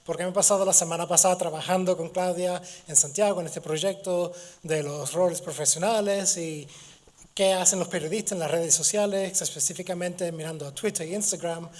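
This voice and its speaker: Hoarse guy w a cold giving a lecture, english-speaking from gibraltar, stops n restarts a lot, pretty high voice. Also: fairly even intonation, a little mellow. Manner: halting, with restarts and fillers. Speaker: guest lecturer. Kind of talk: university lecture.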